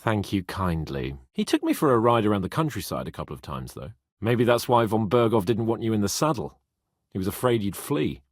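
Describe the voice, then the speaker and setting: Expressive young nobleman, animated pitch. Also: a little fast, dry recording, clean studio sound. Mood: conversational, wry. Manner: expressive, lordly, exclamatory. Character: spirited young nobleman in medieval Bohemia, haughty yet loyal. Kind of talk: dramatic video game voice acting.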